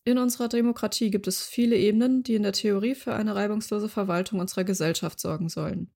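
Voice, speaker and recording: A woman podcast reporter introducing interviewees and reading scripted narration clearly. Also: medium pitch, fairly even intonation, fast, darkish tone.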